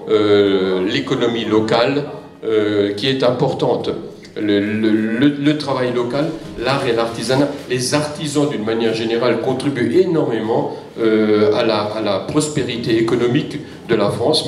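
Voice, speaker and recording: An older man, a local councillor, giving a semi-formal, off-the-cuff public speech, recorded from across a large foyer. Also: medium pitch. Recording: echoey hall PA from far off.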